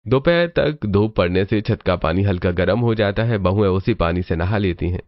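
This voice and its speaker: A man's voice: fairly low pitch, expressive, normal speed, dry recording, dull audio. A man narrating a scripted cartoon story as its storyteller.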